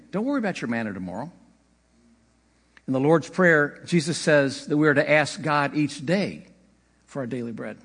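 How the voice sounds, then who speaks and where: A man's voice: on the high side, fairly bright tone, husky. A man preaching a sermon as pastor, conversational, with occasional slips and light laughter. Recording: long hall reverb.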